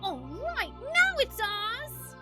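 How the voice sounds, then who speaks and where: A woman's voice: very high voice, huge pitch swings, very slow, loud music behind the voice, pitched above the performer's own voice, full character voice. A woman voicing a brash, hot-tempered young female thief in a video game, defiant and slangy. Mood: excited, shocked. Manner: defiant, shouting, slangy insults.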